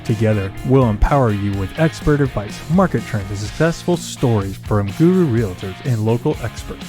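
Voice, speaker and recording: A loan officer co-host reading a scripted show intro in a smooth delivery. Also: male voice, on the low side, lively intonation, moderate pace. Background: guitar music.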